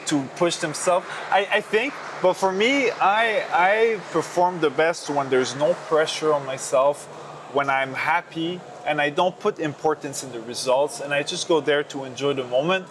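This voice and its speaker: A young man with a French accent answers interview questions in a slightly high voice, earnest and halting, restarting his sentences as he goes. There is clear noise behind him in the recording. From Canada. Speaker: kickboxer. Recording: noisy background.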